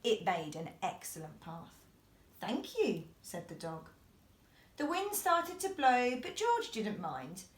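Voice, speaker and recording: A woman's voice: mid-range voice, huge pitch swings, unhurried. A woman reading a children's bedtime story expressively, with sing-song verses and asides to young listeners.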